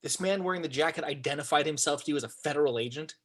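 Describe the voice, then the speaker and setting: A man acting an intense federal agent who questions and orders people at a very fast pace. His voice is slightly high and urgent, read as crime drama. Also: a bit bright. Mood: urgent, demanding. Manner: urgent, interrogating. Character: intense, demanding male federal agent in command. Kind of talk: script table read acting.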